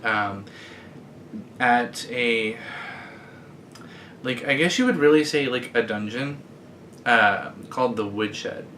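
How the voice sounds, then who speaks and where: A man's voice: mid-range voice, takes it slowly, pauses often, sharp tone, a little hiss or hum. Chatty self-deprecating man in his thirties. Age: 30s. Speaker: vlogger. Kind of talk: casual direct-to-camera chat. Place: indoors, sitting against a bed frame.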